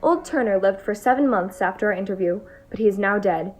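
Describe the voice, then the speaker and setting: A woman's voice: mid-range voice, a little fast, natural voice. A woman playing a Victorian detective's male companion, narrating an audio drama in a formal, composed way. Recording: music under the narration.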